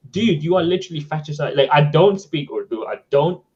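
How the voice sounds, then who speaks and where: A man whose first language is Sindhi tells animated stories in an exasperated way. The recording sounds slightly dull and lo-fi. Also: on the high side, fairly quick. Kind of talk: casual chat.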